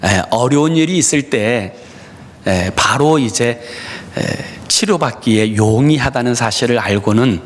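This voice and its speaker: A middle-aged male pastor gives a Sunday sermon in his church. He speaks to the congregation in an earnest, personal way and often pauses on "uh". His pitch moves up and down a lot as he talks. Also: lively intonation. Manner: frequent "에" fillers, personal and earnest, addresses congregation. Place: church sanctuary.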